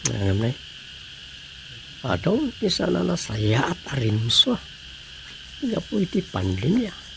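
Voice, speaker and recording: Chatty village man, Ilocano Filipino accent, radio drama, slightly high pitch, creaky gravelly voice, reflective gossip. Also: background noise, exaggerated voice.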